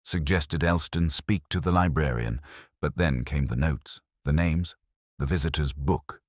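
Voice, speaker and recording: A man playing a weary, reflective older detective, narrating a crime drama in measured, solemn, literary phrases. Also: a bass voice, dry recording, clean studio sound. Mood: somber, reflective. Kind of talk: scripted first-person detective story narration.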